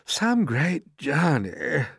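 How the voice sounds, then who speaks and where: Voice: mid-range voice, very animated, drawn-out words, breathy edge, no echo, studio quality, voice pushed up, heavily acted. A reader voicing a vain, bossy male centipede in a children's storybook, blustering and demanding. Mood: stubborn.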